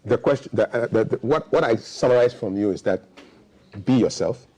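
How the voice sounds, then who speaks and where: A man's voice: mid-range voice, normal speed. A man hosting a studio interview, giving brief affirmations and leading into a music break. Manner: brief affirmations, relaxed lead-ins. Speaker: host.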